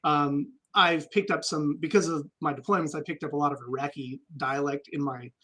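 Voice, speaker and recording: A man's voice: fairly high pitch, steady tone, moderate pace, sharp tone. A man, an army veteran guest, telling stories candidly and reflectively in a casual interview, with frequent ums.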